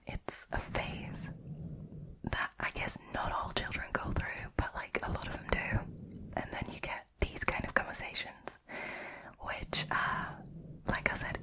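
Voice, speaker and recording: A woman speaking in a breathy whisper, telling short stories and chatting casually about them in a relaxed ASMR style. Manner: informal, chatty, amused. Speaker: host reading and reacting to short stories.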